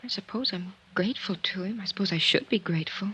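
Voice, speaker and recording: An actress playing a composed, quietly intense woman of twenty-one with a husky voice, in a 1950s radio drama. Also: mid-range voice, fast talker, a little hiss or hum, lightly acted. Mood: wounded, searching. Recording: dull old 1950s radio recording.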